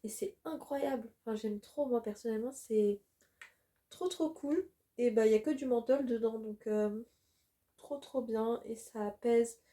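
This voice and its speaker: A woman's voice: fairly high pitch, unhurried, dark tone. A woman in her twenties chatting casually to camera at home in a vlog, gushing and using many fillers. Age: 20s. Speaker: vlogger and design student.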